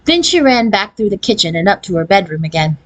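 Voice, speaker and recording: A woman's voice: medium pitch, very animated, quick pace. A woman narrating a children's novel as a read-aloud, following a written script. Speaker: audiobook reader.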